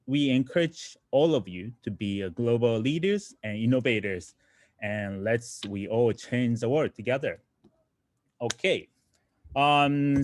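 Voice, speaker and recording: A man's voice: medium pitch, darkish tone, no echo. A man from Japan, a native Japanese speaker, teaching an online programming lesson in English, explaining step by step with many fillers and self-corrections and often asking the audience questions. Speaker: coding teacher.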